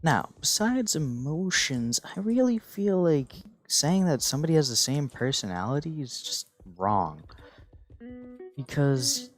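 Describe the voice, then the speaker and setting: A man's voice: on the high side, very animated, measured pace, soft background music. Goofy rambling teenage guy. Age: teens. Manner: goofy, rambling, playful asides. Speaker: gaming channel host. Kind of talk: casual rambling monologue.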